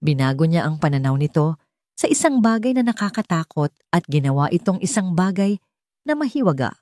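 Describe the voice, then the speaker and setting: A woman's voice: lowish voice, big pitch swings, fairly quick, a little mellow, no echo, studio quality. A woman with a Filipino accent reading a scripted romance story as an audiobook narrator.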